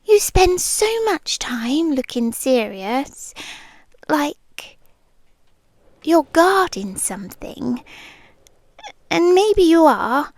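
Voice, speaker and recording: A British woman half-whispering a soft, nervously chatty ASMR roleplay into a close microphone. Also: high voice, very animated, very slow, pauses often, no echo.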